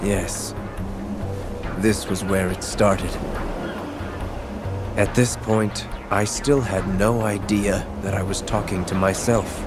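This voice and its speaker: A cryptic game villain with a very deep, low voice who speaks slowly and solemnly. He measures out each word in a calm, knowing way, and a clear game soundtrack sits under him. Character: calm, mysterious young male villain. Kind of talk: video game cutscene acting. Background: game soundtrack music.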